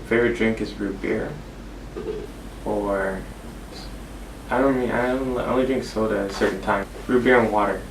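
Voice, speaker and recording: A man's voice: on the low side, fairly even intonation, moderate pace, gravelly, pauses often, roomy sound, far from the mic, background noise. A young man from California, co-hosting a casual vlog, answering questions in an easygoing, rambling way. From Inglewood, California. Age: young adult. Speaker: vlogger co-host. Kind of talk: casual Q and A chat.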